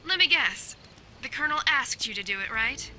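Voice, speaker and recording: A woman's voice: high-pitched, animated, brisk, bright, forceful tone, a little hiss or hum, heavily acted. A woman playing a headstrong, sarcastic young female soldier in an audio drama, with a sassy, combative manner. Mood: irritated, sarcastic.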